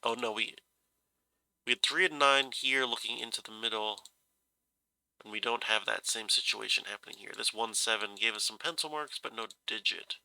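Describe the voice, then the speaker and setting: Brief: congested man solving a puzzle aloud, bright gravelly tone, dry close sound, halting and self-correcting. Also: fairly low pitch, no echo, low-quality recording. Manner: hesitant, musing, self-correcting. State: stuffy nose, slightly scratchy voice from a cold. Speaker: puzzle solver.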